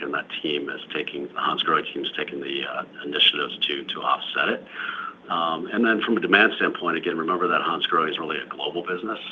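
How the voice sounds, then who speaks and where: A man speaking as a company executive, answering questions on an earnings call in a measured corporate manner, often repeating words. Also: a bass voice. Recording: dull lo-fi call audio, faint noise.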